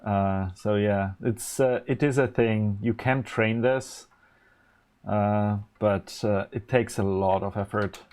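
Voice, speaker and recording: Rambling low-voiced man, Polish native. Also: fairly even intonation, slow pace, darkish tone. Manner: rambling, many fillers and restarts. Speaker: live-stream host. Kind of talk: casual chat while designing electronics.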